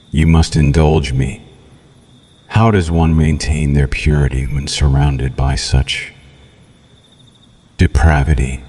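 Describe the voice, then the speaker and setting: A man's voice: extremely low pitch, darkish tone. A man playing a cruel, seductive vampire in an ASMR roleplay, taunting the listener with formal, theatrical menace. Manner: slow, theatrical, mocking, menacing purr with formal diction.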